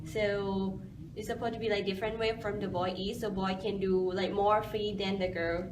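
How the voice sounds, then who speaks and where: A woman's voice: mid-range voice, level tone, normal speed. A young woman student interviewing a friend, speaking non-native English with many fillers and prompts. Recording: echoey room, distant mic, noisy.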